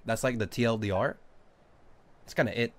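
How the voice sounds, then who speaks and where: A man's voice: medium pitch, a little fast. A young Australian-born man with a Southern Californian accent, casually chatting and joking with viewers while playing a game. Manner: joking, swears freely, reads chat aloud. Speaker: streamer. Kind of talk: casual gaming livestream chat.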